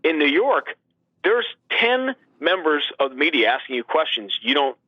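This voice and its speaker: A man's voice: on the high side, sing-song, normal speed, dry recording. Emphatic man talking sports, muffled lo-fi sound. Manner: emphatic, fond of rhetorical questions. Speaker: guest sports radio play-by-play announcer. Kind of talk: animated sports talk. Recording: dull, lo-fi radio-like line.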